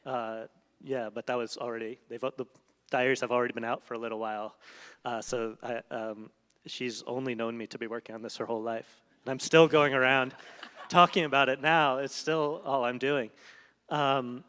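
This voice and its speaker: A man's voice: mid-range voice, steady tone, normal speed, a bit bright, clear, resonant tone. A man, a translator, talking reflectively onstage before an audience and often searching for his words. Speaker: translator in a post-reading conversation. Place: stage of a large hall before an audience. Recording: reverberant hall sound.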